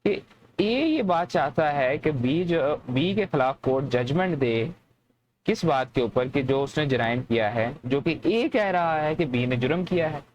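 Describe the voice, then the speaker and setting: Higher-pitched Pakistani man lecturing, noisy recording. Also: expressive, unhurried, muffled recording. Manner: didactic, repeats and rephrases points. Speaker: law lecturer. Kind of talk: explanatory lecture, reading clauses then explaining.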